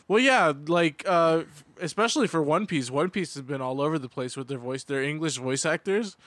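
A man's voice: a little high, expressive, fairly quick, a bit bright, no room sound. A man co-hosting a podcast, talking casually in a group conversation. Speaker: podcast co-host.

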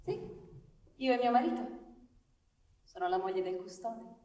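A high-voiced actress playing a woman in a radio drama, with very lively, theatrical intonation. The sound is roomy and echoing, a little away from the microphone, as on a stage. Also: a little slow, far from the mic. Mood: gentle, curious. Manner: expressive, theatrical. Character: cemetery caretaker's wife, gentle and helpful. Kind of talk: live radio theatre acting with music.

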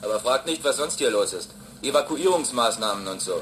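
An actor playing a matter-of-fact, collegial radio operator at an Earth station in a German radio drama. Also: male voice, mid-range voice, animated, fairly quick, a bit bright, a little hiss or hum, lightly acted, low-quality recording.

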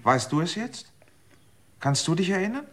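A man's voice: sits high, sing-song, normal speed, a bit bright. An actor playing a desperate, manipulative man in a radio drama, coaxing and then threatening. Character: desperate, manipulative man seeking longer life.

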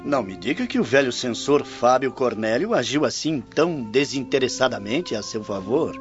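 A man's voice: mid-range voice, big pitch swings, moderate pace, lightly acted. A man playing a compassionate, critical Roman nobleman in a radio drama, speaking in a grave, declamatory manner. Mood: urbane, probing. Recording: muffled radio drama with background music.

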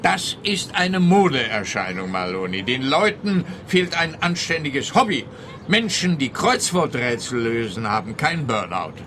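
Bright, forceful, officious policeman. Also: mid-range voice, big pitch swings, unhurried, slight background noise. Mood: pompous, lecturing. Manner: pompous, lecturing. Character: officious, exasperated, opinionated male police officer. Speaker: actor in a radio play. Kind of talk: radio comedy acting.

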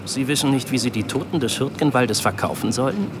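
A male actor plays a learned Iroquois army scout in a war radio drama. He is courteous, formal and completely sure of himself, with an eloquent turn of phrase. Clear outdoor noise surrounds his middle-pitched voice. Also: a little fast, natural voice. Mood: sardonic, resolute. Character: erudite Iroquois US Army sergeant, seasoned, supremely self-assured scout. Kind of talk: German radio play dialogue. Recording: clearly noisy outdoor ambience behind.

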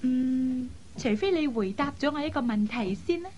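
A high, girlish voice pouts and teases in Cantonese: a headstrong young air hostess in a radio drama. She is playful and coquettish, over faint background noise. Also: animated, normal speed, a little mellow, lo-fi sound. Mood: coaxing. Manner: pouty, teasing, coquettish.

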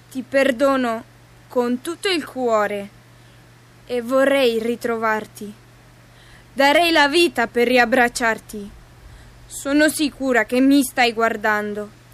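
A woman's voice: high-pitched, a bit bright. A woman playing an abandoned daughter, now a television performer, pleading for her mother in a theatrical radio monologue.

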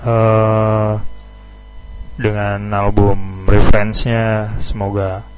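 A man's voice: lowish voice, completely flat delivery, drawn-out words, a little mellow, faint music behind, poor audio. A man hosting a casual radio interview. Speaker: radio host.

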